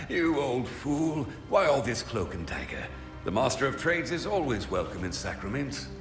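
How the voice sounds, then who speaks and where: An actor playing a gruff, wary, loyal old soldier in a fantasy game, with a blunt, earthy, grumbling manner. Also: male voice, mid-range voice, big pitch swings, unhurried. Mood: hearty. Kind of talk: dramatic fantasy game acting. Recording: roomy long reverb, music behind.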